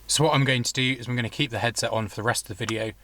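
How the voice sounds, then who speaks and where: A man's voice: medium pitch, fairly even intonation, racing, background noise. A man giving a casual, explanatory hands-on product review, thinking aloud in an informal way. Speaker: vlogger reviewing and installing car gear.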